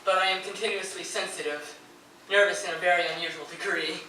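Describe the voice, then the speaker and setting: Heard from off in a very echoey room, a male actor plays a tormented, grieving poet. His voice is high and forceful. He declaims verse and letters with nervous fervor and anguish, as in a live stage drama. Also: fairly even intonation, a little fast. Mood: bitter grief. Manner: fervent, declamatory, swelling into anguished outbursts. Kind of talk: theatrical dramatic reading of poetry and letters. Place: live performance in a radio station's performance room. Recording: very echoey live room, distant mic, faint noise.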